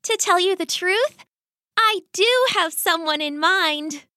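A woman voicing a young female rat, a patient, lovesick daughter with a little squeaky voice, in a children's story. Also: slow, pushed and bright, no echo, studio quality, full character voice. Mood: shy, dreamy. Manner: patient, reasoning, youthful.

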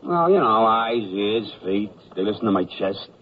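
An actor playing an amiable, dim, bemused Army corporal with a hesitant, folksy manner in a radio comedy. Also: male voice, lowish voice, expressive, normal speed, lightly acted. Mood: helpful. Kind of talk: 1970s radio comedy acting. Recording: dull lo-fi 1970s radio broadcast.

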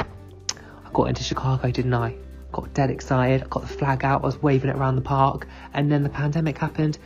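A man's voice: on the high side, muffled recording. A man sending a recorded congratulatory voice message to a podcast host, bubbly and gushing. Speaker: former podcast guest.

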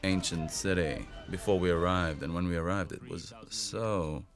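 A man's voice: low voice, moderate pace. A man, the film's narrator, recalling the shoot in a thoughtful, hesitant way. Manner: thoughtful, hesitant, searching for words. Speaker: actor and narrator of the film. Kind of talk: relaxed film commentary. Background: faint film soundtrack music.

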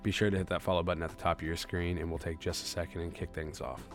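Deep-voiced young man hosting a podcast. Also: little pitch movement, quick pace, over music. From Kansas City, USA. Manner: earnest, reflective, loosely rambling. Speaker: podcast host. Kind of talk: solo podcast monologue.

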